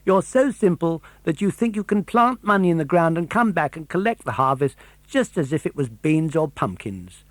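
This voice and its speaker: A man's voice: fairly high pitch, expressive, moderate pace, fairly bright tone, no echo, voice pushed up. A man voicing a mocking, chuckling know-all pigeon in a children's storybook, scornful and lecturing with heavy emphasis.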